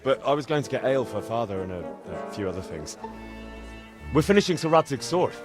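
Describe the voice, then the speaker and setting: A man's voice: medium pitch, very animated, fast, music in the background, natural voice. A man playing a good-natured, hesitant young blacksmith's son in a video game cutscene. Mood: reluctant.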